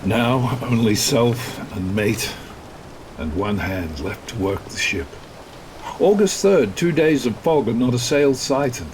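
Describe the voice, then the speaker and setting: Weary, slow-speaking ship's captain. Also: male voice, mid-range voice, expressive, a little mellow, a little hiss or hum, low-quality recording. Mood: grave. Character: weary, fearful, devout ship's captain writing his log. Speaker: actor in horror audio drama. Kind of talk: dramatic radio-play log narration.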